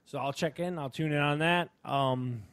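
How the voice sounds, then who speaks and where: A man's voice: fairly high pitch, normal speed, a bit bright, clean tone, no room sound. A man co-hosting a podcast in casual banter, talking bluntly and jokingly with plenty of swearing. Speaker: podcast co-host.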